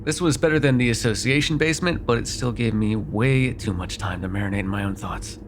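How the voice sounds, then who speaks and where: A man's voice: fairly low pitch, fairly quick, natural voice. Man acting a sardonic vampire over noisy car ambience. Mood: brooding, wry. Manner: hesitant, wry, sweary, conversational, with sighs. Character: sardonic, grudgingly apologetic male vampire on a road trip. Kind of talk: immersive ASMR roleplay acting, one side of a dialogue.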